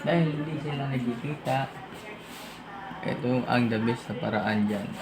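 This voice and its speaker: A Filipino man chats informally while troubleshooting on the job. His voice sits at an average pitch, and the recording is echoey and very noisy. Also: moderate pace. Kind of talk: casual work chat.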